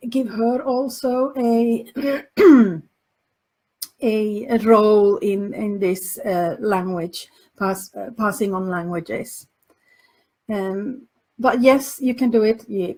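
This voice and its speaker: Woman, Finland Swedish accent, slow pace, mid pitch, hesitant, answering listener questions. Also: darkish tone, low-quality recording. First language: Finland Swedish dialect. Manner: hesitant, many fillers and restarts. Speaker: podcast host and language coach.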